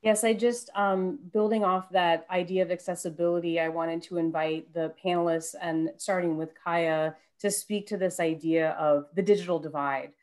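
Lowish-voiced young woman moderating a panel. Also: fairly even intonation, moderate pace, few pauses, darkish tone. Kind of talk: moderating an online panel discussion. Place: room at home, video call. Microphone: headset boom mic.

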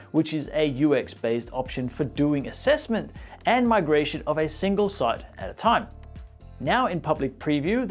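Animated male tech news host, music behind. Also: on the high side. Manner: animated, playfully wry. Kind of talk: scripted tech news presenting to camera. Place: small indoor studio. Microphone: lapel mic.

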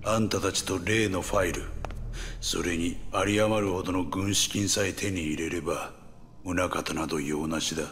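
An actor playing a smug, middle-aged gangster villain in a video game drama, with a mocking, condescending drawl full of menace. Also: male voice, low-pitched, steady tone, normal speed, fairly bright tone, slight background noise. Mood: cold, commanding. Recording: dramatic music behind.

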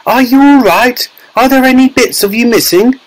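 Storybook mother: male reader as frantic woman, very high, wailing, indignant, melodramatic. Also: moderate pace, poor audio. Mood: anxious.

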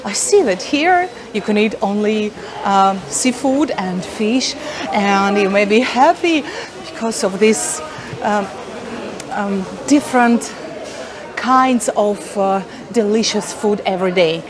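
A woman's voice: mid-range voice, expressive, slow, crowd noise. A middle-aged woman whose first language is Russian, talking in a casual travel vlog to her phone with many fillers and asides to viewers. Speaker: vlogger. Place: busy indoor market hall. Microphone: phone at arm's length.

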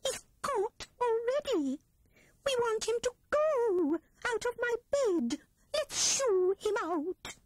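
A woman voicing a timid, excitable female squirrel with a squeaky, trembling voice in a storybook reading. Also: takes it slowly, dry recording, heavily acted. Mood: insistent.

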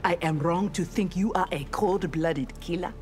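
A stern young female ninja played by a woman in a fighting game. Her voice is very low, formal and resolute, with faint music behind. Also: normal speed, a little mellow, close to an everyday voice. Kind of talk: fighting game character dialogue.

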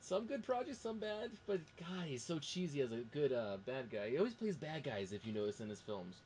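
A man's voice: on the high side, very animated, brisk, darkish tone. A man hosting a casual film discussion in a rambling, enthusiastic way. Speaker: podcast host. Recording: faint background noise.

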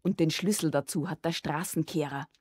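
A woman's voice: fairly quick, slightly rough, dry recording, studio quality. A woman voicing a sly, mocking boy who teases his sister in a storybook. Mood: sly, accusing. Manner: sneaky, cheeky, shrill when excited.

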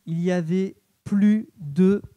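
A man's voice: high-pitched, steady tone, slow pace, dark tone, highs cut off, slight background noise. A middle-aged man co-hosting a casual live stream with enthusiasm, speaking through a face mask into a handheld microphone. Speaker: co-host, librarian. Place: small decorated indoor stage set.

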